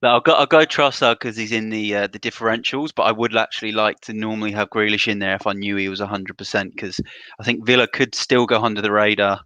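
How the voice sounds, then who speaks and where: Low, bright-toned male panelist. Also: fairly even intonation, quick pace, no echo, muffled recording. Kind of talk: casual panel chat.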